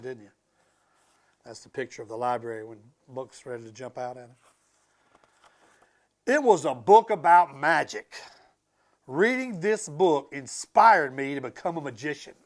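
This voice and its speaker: Animated man reading a children's story aloud. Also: medium pitch, moderate pace, long pauses. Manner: expressive, emphatic storytelling. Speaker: school transportation director reading aloud to students. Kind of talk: animated picture-book read-aloud with casual asides to children.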